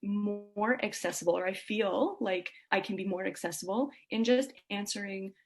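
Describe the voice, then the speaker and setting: Woman with a creaky voice. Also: medium pitch, normal speed, a little mellow, soft background music. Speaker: sleep consultant, podcast guest. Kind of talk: casual explanatory interview.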